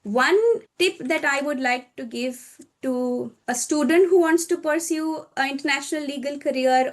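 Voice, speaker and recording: A woman from India, answering interview questions in a measured, explanatory way; her is Hindi. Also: high voice. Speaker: guest, corporate lawyer.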